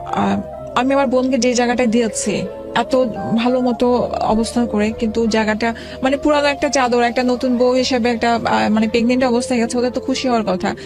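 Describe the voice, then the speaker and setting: A woman in her forties, a guest telling her own life story in an interview, speaking haltingly with many pauses and restarts. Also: fairly high pitch, fairly even intonation. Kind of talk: emotional personal account in an interview. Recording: background music under the talk.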